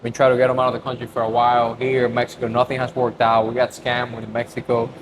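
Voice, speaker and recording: A man's voice: mid-range voice, completely flat delivery, fast. A man co-hosting a casual podcast, talking in a laid-back way with swearing and Spanish slang. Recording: noisy ambience.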